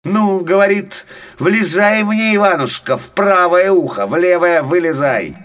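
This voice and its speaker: A man voicing a mighty magical horse from a fairy tale, with solemn, drawn-out, incantatory phrasing. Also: sits high, animated, a bit bright, soft background music, pitched above the performer's own voice. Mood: commanding. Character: magical male horse, mighty, pleading then commanding helper.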